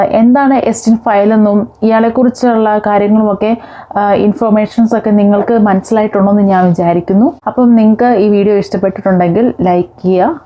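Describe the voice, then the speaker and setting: A woman hosting an explainer vlog, talking directly to viewers. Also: mid-range voice. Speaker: video host. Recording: dull, lo-fi sound.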